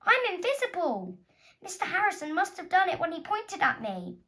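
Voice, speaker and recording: A woman's voice: extremely high pitch, wildly swooping pitch, normal speed, sharp tone, pitched above the performer's own voice, heavily acted. A woman voicing a plucky, loyal schoolgirl heroine in a children's storybook, speaking in an earnest, urgent way. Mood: alarmed, pleading.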